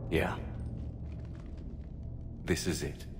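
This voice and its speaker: An actor playing a steady, supportive military commander in his thirties in a video game, calm, direct and reassuring. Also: very slow, music in the background. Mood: sober, steady. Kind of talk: video game cinematic acting.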